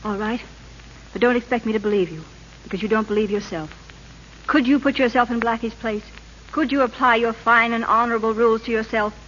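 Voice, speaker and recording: A woman's voice: fairly high pitch, fairly quick, fairly bright tone, lightly acted. A woman acting a governor's passionate, indignant wife in an old radio drama, earnest and insistent. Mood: reproachful. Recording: muffled, noisy vintage radio recording.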